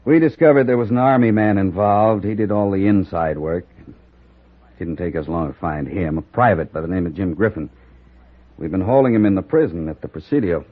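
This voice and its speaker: Earnest army colonel in a radio western, low pitched, businesslike, muffled old recording. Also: male voice, brisk. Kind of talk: old-time radio drama acting.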